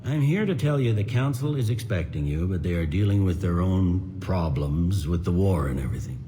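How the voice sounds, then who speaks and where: Deep, mellow, world-weary police commander. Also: male voice, expressive, moderate pace. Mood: weary, blunt. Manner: blunt, informal. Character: gruff, world-weary male police commander, plain-spoken. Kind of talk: video game cutscene acting. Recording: faint ambient noise behind dialogue.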